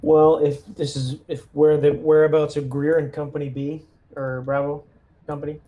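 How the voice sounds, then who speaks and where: A man's voice: on the high side, level tone, normal speed, mellow, muffled recording. A man casually talking through plans as a player in a tabletop roleplaying game.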